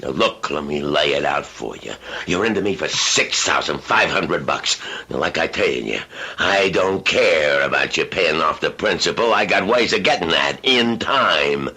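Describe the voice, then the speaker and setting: An actor playing a coarse, mocking loan shark with streetwise tough-guy slang and a rough, husky voice, in an old-time radio drama. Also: extremely low pitch, expressive, moderate pace, sharp tone, heavily acted. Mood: sly coercion.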